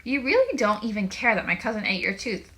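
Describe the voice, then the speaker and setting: A woman's voice: a little high, huge pitch swings, a little fast, sharp tone, exaggerated voice. A woman voicing a dramatic, indignant young boy in a children's storybook, with an emphatic, aggrieved manner. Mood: hurt, indignant.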